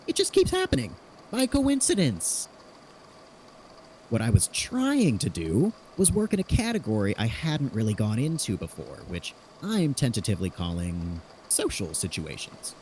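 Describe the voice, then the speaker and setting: A man in a podfic audio drama playing an eager, sheepish inventor who rambles haltingly as he explains his grim work. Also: mid-range voice, mellow, slight background noise. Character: eager rambling inventor cheerfully cataloguing fears, sheepish about deaths.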